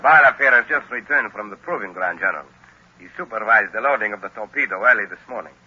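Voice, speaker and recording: An actor playing a scheming, menacing professor in an old-time radio drama, formal and measured in his politeness. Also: on the low side, bright, forceful tone, husky, heavily acted. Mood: polite, formal. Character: scheming, menacing male professor villain, smoothly murderous. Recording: scratchy, muffled old radio broadcast.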